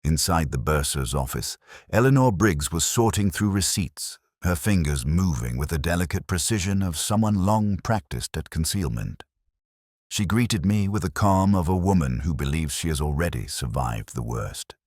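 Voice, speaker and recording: A man narrating a scripted first-person detective story in a measured, brooding, literary manner. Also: low-pitched, animated, a little mellow, no echo, clean studio sound.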